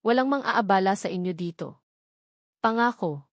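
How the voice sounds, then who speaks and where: Woman voicing kind male politician, storybook drama, soothing, measured, mid-pitched, dry recording. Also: animated, dry recording, natural voice. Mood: reassuring. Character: gentle, reassuring man, a politician comforting a child.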